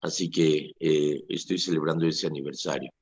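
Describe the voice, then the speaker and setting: A Mexican man in his sixties with a very low, husky voice preaches on the radio with earnest conviction. He drops into lively quoted asides to make his point. The sound is dull and lo-fi, as in an old radio recording. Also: normal speed. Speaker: priest and radio host.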